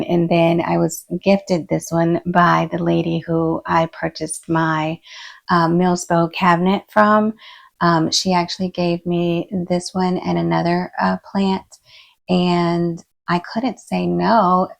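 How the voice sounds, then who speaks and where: Husky, hoarse woman, slow and low. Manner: relaxed, soft-spoken, many fillers. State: hoarse, losing her voice. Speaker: plant channel host. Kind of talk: casual vlog chat while repotting. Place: indoor plant room.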